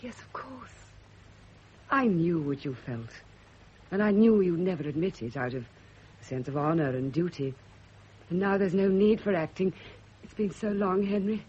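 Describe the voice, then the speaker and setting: A woman's voice: on the low side, dark tone. An actress playing a fervent, lovesick woman who confesses hidden passion, in a theatrical classic radio drama style. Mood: passionate, pleading. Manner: fervent, breathy pauses, theatrical intensity. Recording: dull old radio recording, faint hiss.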